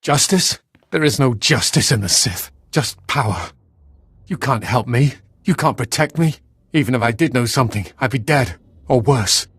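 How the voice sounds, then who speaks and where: An actor playing a terrified, cowering Sith acolyte held prisoner in a video game, nervous, stammering and pleading. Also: male voice, medium pitch, animated, normal speed, fairly bright tone, breathy edge, dry recording, studio quality. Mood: bitter, hopeless.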